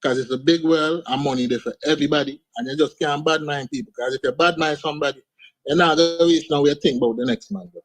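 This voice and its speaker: A man's voice: a little high, steady tone, quick pace. A man with an Antiguan accent, talking casually as a guest artist in an interview, often ending lines with "man" and "you understand". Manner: laid-back, sprinkles speech with "man" and "you understand". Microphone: video call.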